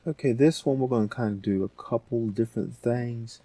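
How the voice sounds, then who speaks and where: A man hosting a casual software tutorial, talking informally and thinking aloud as he goes. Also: mid-range voice, a little fast, dry recording. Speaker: audio editing tutorial host.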